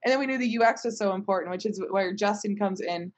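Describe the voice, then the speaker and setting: A woman's voice: medium pitch, quick pace, lo-fi sound. Emphatic, talkative woman in casual conversation. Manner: talkative, emphatic, repeatedly tags points with "right?". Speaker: company founder.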